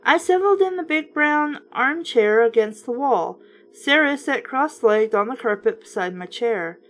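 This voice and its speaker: A woman reading a book aloud in a casual, expressive way, breaking in with sarcastic, opinionated remarks. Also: high-pitched, measured pace, faint music behind. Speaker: host reading children's books aloud with commentary.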